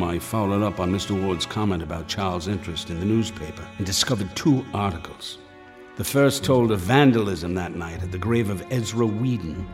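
Man acting as a low-voiced, grave family doctor. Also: natural voice. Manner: formal, deliberate storyteller. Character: grave, measured male family doctor narrating his case. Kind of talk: radio drama narration and acting. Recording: music behind the voice.

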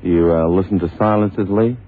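An actor in a vintage radio drama playing an eager, easygoing detective's sidekick with a colloquial, folksy manner. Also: male voice, low voice, fairly even intonation, normal speed, close to an everyday voice. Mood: obliging. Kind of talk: 1960s-70s radio drama acting. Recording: tinny, noisy old radio broadcast.

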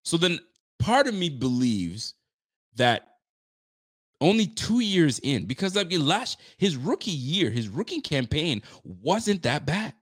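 A man in his late thirties hosting a casual sports talk show, animated and chatty, often repeating himself. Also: fairly high pitch, a little slow, husky, dry recording, clean studio sound. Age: 30s. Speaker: sports podcast host.

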